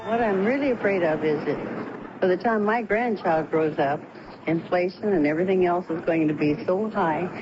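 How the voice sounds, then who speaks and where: Older woman, lowish voice, music behind. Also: moderate pace. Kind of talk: testimonial in a radio promo. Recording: dull old radio recording.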